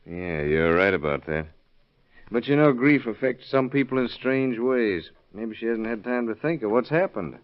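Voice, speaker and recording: An actor playing a calm arson investigator in old radio drama, questioning in a matter-of-fact way. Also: male voice, mid-range voice, moderate pace, smooth, lightly acted. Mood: pondering. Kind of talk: 1930s radio acting. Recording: muffled vintage radio recording.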